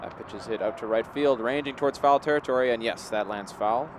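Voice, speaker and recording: A man's voice: mid-range voice, fairly quick. A man giving live play-by-play baseball commentary as the game unfolds. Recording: clear outdoor background noise.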